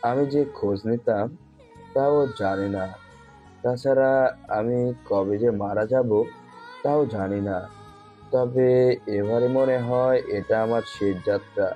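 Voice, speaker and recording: A man voicing a dying elderly prime minister, speaking gravely and with resignation. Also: medium pitch, steady tone, measured pace, long pauses, faint music behind, lightly acted. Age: older. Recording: dull muffled lo-fi audio.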